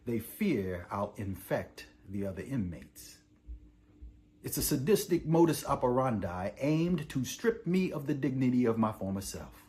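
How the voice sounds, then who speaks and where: A male actor playing a weary, defiant imprisoned revolutionary in a theatrical monologue, reading a letter to his parents with deliberate, oratorical delivery. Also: mid-range voice, sing-song. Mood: bitter, rising. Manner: deliberate oratorical delivery swinging from hushed to impassioned. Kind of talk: dramatic theatrical monologue, letter read aloud.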